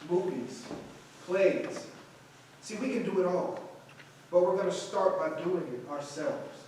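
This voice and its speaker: A man plays an encouraging, composed director of a 1950s Harlem theater in a staged drama. His voice is high for a man, heard from far off in a very echoey space. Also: lively intonation, unhurried, darkish tone. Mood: calm, encouraging. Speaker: stage actor. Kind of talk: staged play reading. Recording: roomy far-off mic, faint noise.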